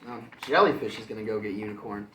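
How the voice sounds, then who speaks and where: A man who works as a kindergarten teacher reads a storybook aloud for children, pausing to explain it in simple words. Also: lowish voice, a bit bright, roomy sound, far from the mic, slight background noise, rough recording.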